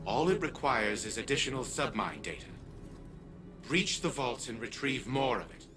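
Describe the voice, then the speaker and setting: A man's voice: mid-range voice, lively intonation, moderate pace, music in the background, close to an everyday voice. A man playing a composed strategist in a video game, giving orders in a formal, precise, technical way. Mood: composed, instructive.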